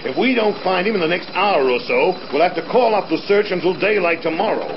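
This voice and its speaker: A commanding male colonel running a rescue. His voice is slightly high and creaky, with lively, firm delivery. It is vintage radio drama on a muffled tape. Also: quick pace. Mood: urgent, determined. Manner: firm, authoritative. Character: commanding, determined male air force colonel leading a rescue. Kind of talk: mid-century radio drama acting. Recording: dull, noisy vintage radio recording.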